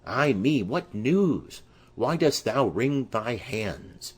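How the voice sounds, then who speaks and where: A man's voice: medium pitch, very animated, takes it slowly, breathy edge, voice pushed up, exaggerated voice. A man voicing an impassioned, lovesick young noblewoman in declamatory Shakespearean verse for an audiobook. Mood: anguished. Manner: declamatory, poetic.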